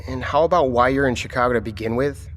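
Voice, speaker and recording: Actor as a stern government chief in a reverberant space. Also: male voice, mid-range voice, fast, a bit bright, natural voice. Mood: probing. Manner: commanding, clipped, condescending. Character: stern, authoritarian male government chief interrogating subordinates. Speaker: voice actor in an audio drama. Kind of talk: audio drama acting. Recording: reverberant hall with background noise.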